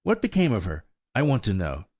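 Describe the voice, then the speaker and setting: A man's voice: on the low side, wildly swooping pitch, fairly quick, dry recording, clean studio sound, pitched above the performer's own voice. A man voicing a hot-tempered young male colt in a storybook reading, talking in indignant outbursts. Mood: excited.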